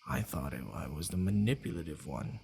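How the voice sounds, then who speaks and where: Man acting a gravelly, murmuring vampire lord. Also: extremely low pitch, expressive, fairly quick, darkish tone, a little hiss or hum, exaggerated voice. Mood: pensive. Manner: hesitant, sighing, murmuring to himself. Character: possessive male vampire lord, flustered, secretly tender. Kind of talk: ASMR boyfriend roleplay audio.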